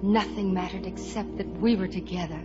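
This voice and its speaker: An actress playing a tender, adoring young bride in a romantic, earnest 1950s radio musical style. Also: female voice, mid-range voice, steady tone, a little fast, close to an everyday voice. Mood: wistful, romantic. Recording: muffled 1950 radio broadcast, orchestral music.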